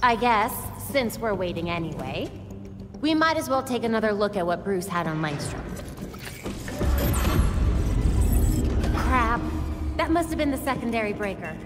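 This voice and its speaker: An actress playing a level-headed young female crime-fighter in a video game, frank, practical and steady. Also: on the high side, moderate pace, loud music behind the voice. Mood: exasperated. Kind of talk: dramatic video game acting.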